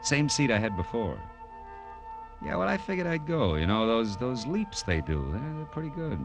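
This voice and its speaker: An American actor playing a wry, world-weary private detective in an old radio drama, with slangy, clipped delivery. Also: male voice, medium pitch, big pitch swings, normal speed. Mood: sheepish, wry. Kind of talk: 1940s-50s radio detective acting, first-person narration. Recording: vintage radio broadcast with music.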